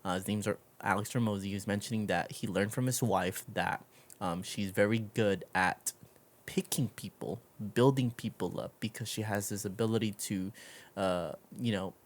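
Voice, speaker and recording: A man's voice: on the low side, normal speed. A man hosting a casual conversational interview, speaking informally with slang and loose swearing. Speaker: interview show host.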